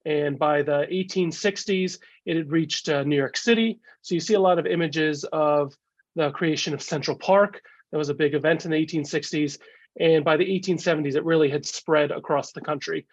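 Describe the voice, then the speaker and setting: A man with a cold talks through a slideshow over a video call, his voice gravelly and a touch higher than most. He halts often on fillers. The sound is dull and dry. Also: no room sound. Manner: halting, many "uh" fillers. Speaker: guest speaker, collector. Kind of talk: casual slideshow talk.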